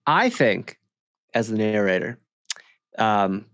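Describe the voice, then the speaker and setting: Man in animated, close-miked casual banter. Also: fairly low pitch, slow pace, no room sound. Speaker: podcast co-host.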